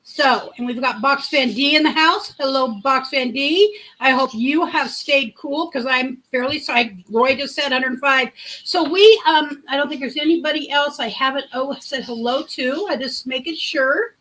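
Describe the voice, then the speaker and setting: A woman's voice: sits high, normal speed, sharp tone, low-quality recording. A middle-aged woman hosting a livestream, chatting in a rambling way, telling a story and answering comments from her chat. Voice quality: big voice. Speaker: livestream host. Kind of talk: casual live-chat storytelling.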